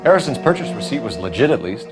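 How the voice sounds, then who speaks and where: Man playing a formal police detective, lively intonation. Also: mid-range voice, fast, over music, natural voice. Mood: businesslike. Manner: formal, methodical questioning. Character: polite, businesslike male police detective. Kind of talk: video game character acting.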